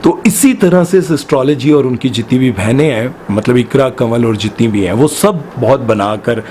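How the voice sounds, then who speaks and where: Male news commentator, heated and pointed, middle pitch, faint hiss behind. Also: normal speed, a little mellow. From Pakistan. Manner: impassioned, full of rhetorical questions.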